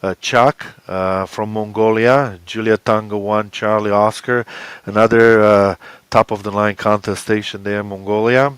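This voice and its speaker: Halting low-voiced man with smooth tone. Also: fairly even intonation, a bit bright, dry recording. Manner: halting, hesitant, with very frequent uhs. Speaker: interviewed expedition co-leader. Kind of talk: interview answers, explaining plans.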